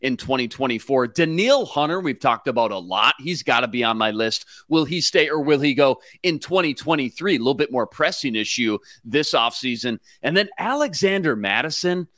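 A man co-hosting a sports podcast, giving brisk, stat-heavy analysis in a prepared way. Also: mid-range voice, expressive, a bit bright, no room sound, clean studio sound. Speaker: producer and co-host.

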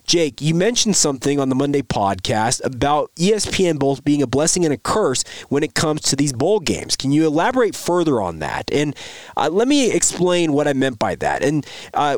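A man hosting a sports podcast, hoarse and a little raspy from a cold, explaining his points in an earnest, emphatic way. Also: a little high, no echo. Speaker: podcast host. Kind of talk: solo sports podcast commentary.

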